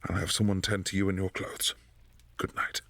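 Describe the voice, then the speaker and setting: A man as a gravelly vampire prince. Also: low-pitched, level tone, normal speed, no echo. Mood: stunned. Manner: formal, commanding, softly menacing. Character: possessive, imperious male vampire prince. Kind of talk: boyfriend ASMR roleplay.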